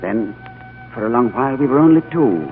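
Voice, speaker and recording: An actor playing a weary, haunted adventurer in a 1930s radio drama, narrating earnestly. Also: male voice, medium pitch, animated, fairly quick, lightly acted. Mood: somber. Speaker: leading man and narrator. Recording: tinny old radio broadcast with music.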